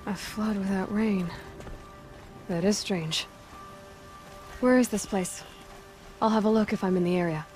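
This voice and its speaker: An actress playing a practical, skeptical young female adventurer in a video game, speaking in a measured, matter-of-fact way. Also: medium pitch, a little mellow, soft background music, natural voice. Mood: curious. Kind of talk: video game character acting.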